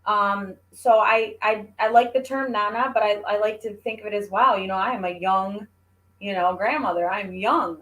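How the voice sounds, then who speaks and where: A woman's voice: mid-range voice, normal speed, fairly bright tone, poor audio. A woman in her forties chatting casually, talking things through in a reflective, explanatory way. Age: 40s. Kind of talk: casual chat.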